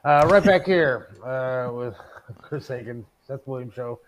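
A man hosting a talk show, chatting in a casual, halting, unscripted way. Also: mid-range voice, poor audio.

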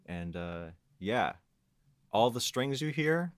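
A man's voice: mid-range voice, very animated, takes it slowly, no echo. A man chatting casually as a guest on a podcast.

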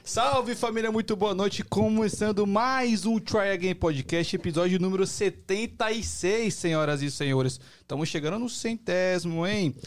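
A man's voice: high-pitched, normal speed, hardly any pauses. A man co-hosting a live podcast, speaking in a playful, teasing way as he greets and addresses the audience. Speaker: podcast co-host. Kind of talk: casual live podcast chat.

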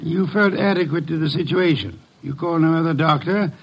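An actor playing a shrewd, imperious American detective in old-time radio drama, pompous and dryly sardonic, with a gravelly voice. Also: male voice, a little high, highs cut off. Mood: accusing, deliberate. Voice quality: gravelly, husky. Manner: pompous, deliberate, probing.

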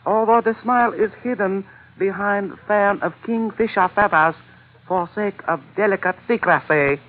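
A tinny old radio recording carries a man playing a smiling henchman, pitched high. He speaks in ornate, over-polite phrases, courteous and menacing at once, in classic 1940s radio-play style. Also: moderate pace, close to an everyday voice. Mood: smooth, courteous menace. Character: smiling, flowery-spoken male henchman with a gun.

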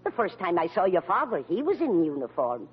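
A woman's voice: lowish voice, sing-song, fairly quick, a little hiss or hum. An actress playing a fond, soothing middle-aged mother with a tactful manner in an old-time radio comedy. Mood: fond, encouraging. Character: fond, encouraging mother of a grown son. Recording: muffled old radio recording.

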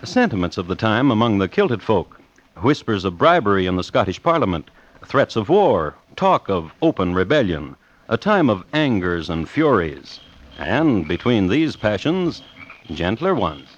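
An actor playing a dry, deliberate crime connoisseur who hosts and narrates an old-time radio show. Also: male voice, fairly low pitch, sing-song. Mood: measured. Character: dry, deliberate male crime connoisseur telling murder tales. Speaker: host and narrator. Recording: dull vintage radio recording.

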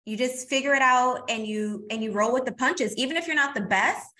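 Chatty woman guest with a very high, bright, sharp voice. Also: a little fast. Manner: chatty and energetic, calling the host "girl". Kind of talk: casual interview chat.

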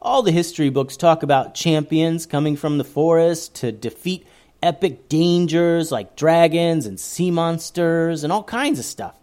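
A man's voice: a little high, a little slow, a little mellow, pitched above the performer's own voice, close to an everyday voice. A man reading a storybook aloud and voicing an eager young boy who blurts and stammers. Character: brave excitable young boy.

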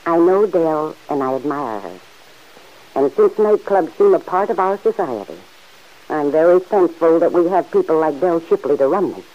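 A woman playing a kindly middle-aged farm aunt who gently chides the man in her home, in 1940s radio acting. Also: sits low, expressive. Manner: gently chiding, motherly, quick to laugh. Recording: dull old radio recording.

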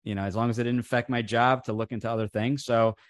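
A man's voice: medium pitch, steady tone, quick pace, dry recording, clean studio sound. A man whose first language was Italian, a franchise coach telling his background in a casual interview, with many fillers and restarts. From New Jersey, USA. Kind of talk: casual interview over video call.

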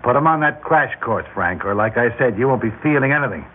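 A man's voice: medium pitch, animated, fast talker, natural voice. An actor playing a ruthless crime boss in a classic radio drama, with clipped, commanding tough-guy talk that turns nervous under pressure. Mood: cold threatening. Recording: tinny, noisy old radio broadcast.

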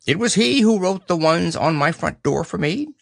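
A man's voice: high-pitched, lively intonation, moderate pace, vocal fry, dry recording, clean studio sound, voice pushed up. A man voicing a pompous, wordy, self-important owl in a storybook reading. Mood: proud, fussy. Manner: pompous, long-winded. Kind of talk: storybook character voice.

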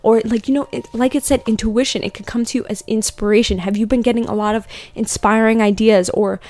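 A young woman hosting a casual spiritual chat, rambling with many fillers and slowing down to read out affirmations. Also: fairly high pitch, steady tone, a little mellow. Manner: rambling, filler-heavy, laughs often, slows down for affirmations. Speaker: podcast host doing card readings. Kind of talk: casual spiritual chat with tarot-style readings.

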